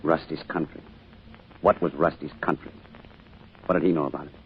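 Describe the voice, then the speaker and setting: An actor playing a grieving, withdrawn middle-aged father in a radio drama, speaking in a weary, halting way. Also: rumbling low, fairly even intonation. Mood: bitter, grieving. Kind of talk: old-time radio drama acting. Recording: muffled, noisy old radio recording.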